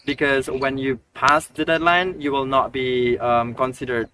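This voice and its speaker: Man, mid pitch, hesitant and pause-filled, recorded far off with light noise. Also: little pitch movement, bright, forceful tone. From Indonesia. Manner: hesitant, many fillers and restarts. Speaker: scholarship recipient guest. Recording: distant mic with faint noise.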